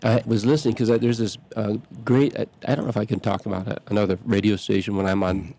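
Man, priest radio host, rambling casual chat, low gravelly voice. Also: flat delivery, warm tone. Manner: rambling, self-interrupting, quick to laugh.

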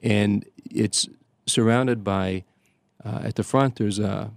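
An older man, a priest from the Chicago area, telling stories in casual conversation, halting often with fillers and restarts. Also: fairly low pitch, steady tone, gravelly. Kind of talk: conversational storytelling.

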